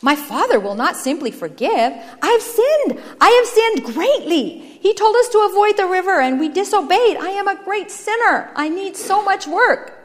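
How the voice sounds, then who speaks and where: A woman's voice: very high-pitched, sing-song, normal speed, few pauses, long reverb tail, pitched above the performer's own voice. A woman voicing a guilt-ridden young man who is desperate and stubborn, speaking in an earnest, insistent, dramatic way. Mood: indignant, distraught.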